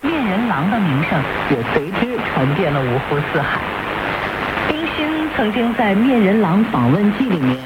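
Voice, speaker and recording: A woman's voice: mid-range voice, big pitch swings, moderate pace, dull audio. A woman narrating a scripted radio documentary in a storytelling style. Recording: very noisy shortwave radio reception. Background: loud radio static.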